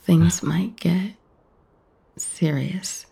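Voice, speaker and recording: A woman plays a flirtatious, tender lover in an intimate audio romance. Her voice is mellow and breathy, with a gravelly creak. She takes her time, teasing and hesitating in near-whispers. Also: fairly low pitch, drawn-out words, heavily acted. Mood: hesitant, coy. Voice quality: breathy, husky. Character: coy, flirtatious woman smitten with a stranger, playful and tender.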